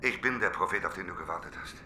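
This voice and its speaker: A man's voice: low-pitched, fast, sharp tone, slight background noise. An actor playing a desperate, wily man trapped as a reluctant prophet in a radio drama, urgent and pleading. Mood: pleading, bargaining. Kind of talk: literary radio drama acting.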